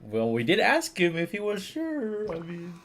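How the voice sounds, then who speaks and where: A man's voice: high voice, huge pitch swings, a little slow, a bit bright, a little hiss or hum. A man giving casual live commentary as he streams a video game. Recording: game music behind.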